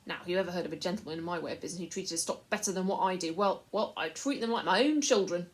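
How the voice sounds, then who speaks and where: A woman's voice: lowish voice, quick pace, close to an everyday voice. A woman doing a wheedling, greedy slave trader. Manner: wheedling, coarse and chatty.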